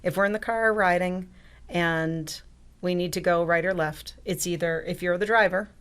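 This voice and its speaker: A woman's voice: on the low side, fairly even intonation, normal speed, a bit bright, clear, resonant tone. A woman in her fifties co-hosting a casual chat, laughing often and steering the talk with questions. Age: 50s. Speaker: co-host.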